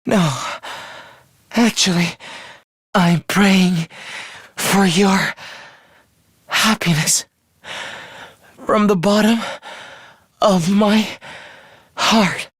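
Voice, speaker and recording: A man's voice: sits high, animated, a little slow, a bit bright, no echo, clean studio sound. A man playing a young video game fighter who is eerily polite and fervent, speaking in theatrical, formal phrases of morbid devotion. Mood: tender farewell. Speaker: voice actor. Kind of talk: dramatic video game character acting.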